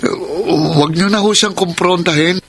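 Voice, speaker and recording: A man's voice: high voice, normal speed, over a noisy crowd, close to an everyday voice. An actor with a Filipino accent playing a placating, defensive young man in a radio drama, hesitant and pleading. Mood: puzzled, respectful. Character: defensive young boyfriend and respectful son, placating. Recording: music bed under the voice.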